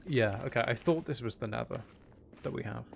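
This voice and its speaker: A man's voice: mid-range voice, fairly quick, creaky, slight background noise. A man giving casual gameplay commentary, rambling and thinking aloud to himself as a gaming video host.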